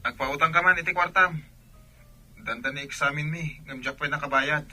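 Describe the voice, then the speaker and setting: An actor with an Ilocano Filipino accent playing a worried, pushy young student short of money in a radio drama. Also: male voice, a little high, moderate pace, sharp tone, soft background music. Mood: pleading.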